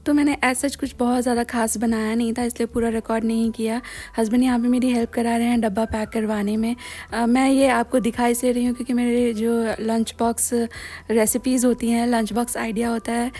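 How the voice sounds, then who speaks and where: A woman's voice: fairly high pitch, flat delivery, fairly quick, warm tone, soft background music. An Indian woman in her thirties talking casually to her viewers in a home vlog, candid and earnest, with a slightly sore, scratchy throat and English words mixed into her speech. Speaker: vlogger. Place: home kitchen.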